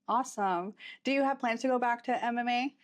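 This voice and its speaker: A woman's voice: a little high, fairly quick. A woman hosting a podcast, chatting casually as she interviews a guest.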